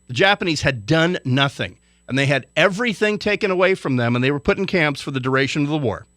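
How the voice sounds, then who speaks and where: Lively, bright-voiced, indignant male commentator. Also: fairly high pitch, no room sound, studio quality. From Hawaii. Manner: indignant, lecturing, forceful. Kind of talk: radio commentary rant.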